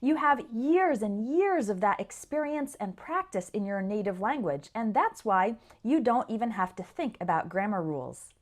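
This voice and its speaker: A woman English teacher giving an instructional lesson, explaining things clearly and speaking directly to learners. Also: mid-range voice, animated, normal speed, few pauses. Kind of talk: instructional lesson to camera or listeners.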